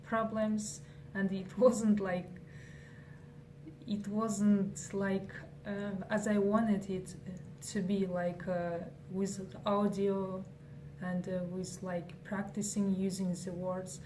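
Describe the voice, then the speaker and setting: A woman's voice: mid-range voice, flat delivery, slow, warm tone. A young woman from Kazakhstan, whose first language is Kazakh, answering interview questions in English with many fillers and restarts. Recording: faint noise, distant from mic.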